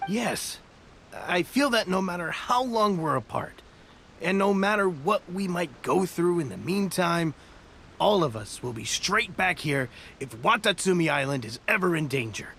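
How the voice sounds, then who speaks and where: An actor playing an eager, loyal young soldier in a video game, sincere and a little hesitant. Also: male voice, sits high, lively intonation, moderate pace, vocal fry, a bit bright, slight background noise, heavily acted. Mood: heartfelt, firm. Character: eager, loyal young male soldier reporting to his general.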